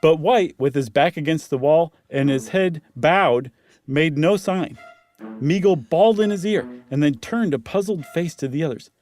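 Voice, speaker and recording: A man's voice: on the high side, moderate pace, darkish tone, faint music behind. A man, a podcast co-host, narrating a story dramatically with casual asides.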